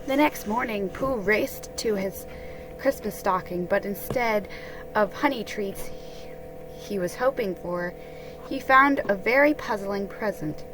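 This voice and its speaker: A woman hosting a holiday read-aloud, mixing casual chat with dramatic storybook reading in a halting way that stumbles over words. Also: mid-range voice, music in the background, poor audio.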